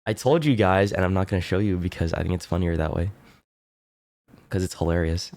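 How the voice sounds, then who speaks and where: A man with a deep, low voice talks casually to his stream audience, his nose plainly blocked so everything comes out stuffy. He rambles in a relaxed way, swears freely and keeps clearing his throat. The sound is close and dry, with no room around it. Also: a little fast, no room sound. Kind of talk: casual chat with viewers.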